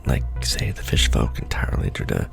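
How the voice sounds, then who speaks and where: A man playing a jaded secret-society official in an ASMR roleplay, briefing a recruit in a soft, deadpan, rambling, sardonic murmur. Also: brisk, lightly acted. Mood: dry, sardonic. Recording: background music under the voice. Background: background music.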